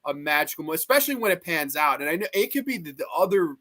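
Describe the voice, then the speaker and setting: A man's voice: a little high, big pitch swings, fast, sharp tone. A man hosting a casual podcast chat, enthusiastic and easygoing, swearing freely.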